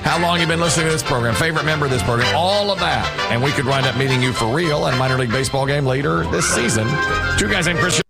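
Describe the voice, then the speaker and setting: A man's voice: fairly high pitch, lively intonation, fast, loud music behind the voice. A man hosting a radio show, running a listener game and joking between calls. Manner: jokey, reads listener lines.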